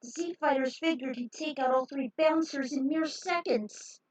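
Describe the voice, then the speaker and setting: A woman's voice: sits high, normal speed, dry recording, rough recording. A woman narrating a fan story and commenting over game scenes in a dramatic storytelling style.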